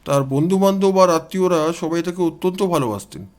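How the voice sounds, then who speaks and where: A man's voice: on the high side, a little fast, darkish tone, natural voice. A man voicing a courteous, troubled police inspector who explains things politely in an audio story. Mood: explaining.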